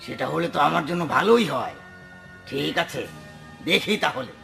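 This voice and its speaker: A man playing a gruff, bossy grain merchant in a dubbed cartoon. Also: on the high side, lively intonation, moderate pace, fairly bright tone, soft background music, exaggerated voice. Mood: approving. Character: gruff adult male grain boss, bossy but approving.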